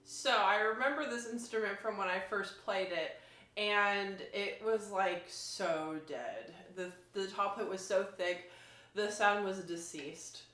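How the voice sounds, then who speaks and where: A woman's voice: mid-range voice, takes it slowly, fairly bright tone. A woman giving a casual review as a demonstrating musician, informal and thinking aloud as she goes. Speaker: violinist demonstrating instruments. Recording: echoey room.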